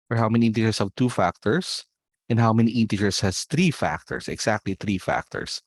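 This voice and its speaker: A man with a Filipino accent leads an online olympiad math session, walking through the solution step by step and slipping Tagalog words into his English. His voice sits fairly low, and the sound is dry and close, with no room around it. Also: no room sound. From Philippines. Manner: explains step by step, mixing in Tagalog words, checks in with students.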